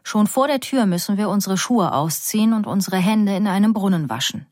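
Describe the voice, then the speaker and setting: A woman narrating a first-person story in a calm, measured, vivid way. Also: medium pitch, no room sound, studio quality. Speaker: audiobook narrator.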